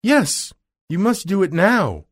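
A man's voice: high-pitched, huge pitch swings, a little slow, pitched above the performer's own voice, full character voice. A man voicing Dorothy as an earnest, eager young girl in a children's storybook. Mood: insistent.

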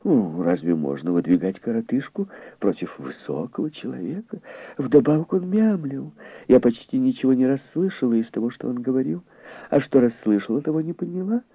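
A man's voice: medium pitch, animated, fairly quick, breathy edge, no room sound, pitched above the performer's own voice. A man voicing a chattering, smug and shallow society woman who is easily scandalized, in a gushing audiobook character voice. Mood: gossipy, smug. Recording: narrow, phone-like lo-fi sound.

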